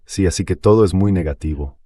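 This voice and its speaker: A man's voice: low-pitched, a little fast, mellow. A man's synthetic AI voice reading a translated interview guest's commentary as a voice-over.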